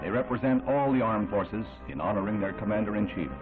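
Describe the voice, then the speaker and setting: A man's voice: lowish voice, animated, fairly quick. An American man reads scripted 1960s newsreel narration in a solemn, dramatic, declamatory manner. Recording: tinny band-limited vintage newsreel, music behind.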